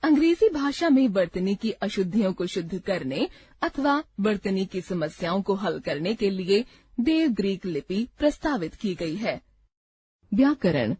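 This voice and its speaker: Mellow husky woman reading a lesson. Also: medium pitch, big pitch swings. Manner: measured, recites rules and example lists. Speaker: textbook narrator. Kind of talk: scripted grammar lesson narration.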